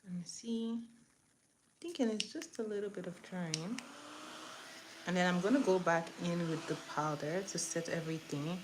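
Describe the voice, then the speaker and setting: Lower-voiced woman, chatty, with background noise. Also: moderate pace. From eastern Nigeria. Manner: chatty, instructive, talking to viewers while working. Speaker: makeup tutorial host on a livestream. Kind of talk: casual chatty makeup tutorial, greeting viewers. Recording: clear background noise, room ambience.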